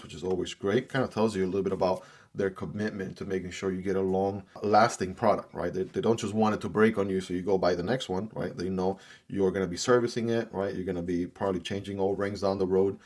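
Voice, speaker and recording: A man's voice: deep voice, a little mellow. A man whose first language is Spanish, doing a casual, upbeat unboxing review and often tagging "right?" onto his sentences. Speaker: product reviewer.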